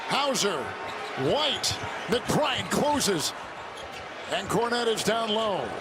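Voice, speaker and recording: A man's voice: high voice, very animated, extremely slow. A man calling live basketball play-by-play in clipped, descriptive phrases. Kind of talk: live sports commentary. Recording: loud arena crowd and court noise. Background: basketball arena crowd, bouncing ball.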